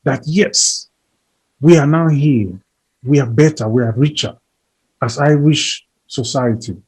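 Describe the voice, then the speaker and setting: A man's voice: mid-range voice, measured pace, darkish tone, breathy edge, poor audio. Earnest man speaking in repeated lists. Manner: emphatic, repeats phrases, builds lists. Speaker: interview guest. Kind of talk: earnest conversational advocacy.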